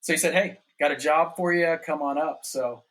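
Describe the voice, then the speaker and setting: A man's voice: fairly high pitch, normal speed, a bit bright. A middle-aged man from North Carolina, a podcast guest telling laid-back, rambling stories with frequent laughs. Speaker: podcast guest, former pro snowboarder. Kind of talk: casual storytelling.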